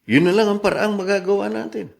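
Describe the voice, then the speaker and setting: A man's voice: high voice, fairly even intonation, fast, vocal fry. A man playing a concerned, determined ally in a radio drama, speaking with urgency. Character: Jason, concerned, determined ally of a worried mother. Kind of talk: Filipino radio drama acting.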